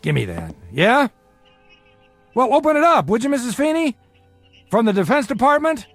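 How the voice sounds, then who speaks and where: An actor playing a world-weary, middle-aged small-time bookie, wisecracking with breezy bravado in a radio crime drama. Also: male voice, very high-pitched, wildly swooping pitch, moderate pace, a bit bright, no echo, soft background music, full character voice. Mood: anxious, shaken.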